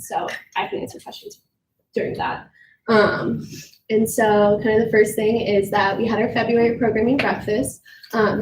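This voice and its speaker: A young woman giving a report at a student meeting, congested from a cold, talking casually with lots of "um"s. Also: mid-range voice. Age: young adult. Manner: chatty, many "um"s and "kind of". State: congested, sick. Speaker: student government vice president. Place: meeting room. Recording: echoey room, far from mic, noisy.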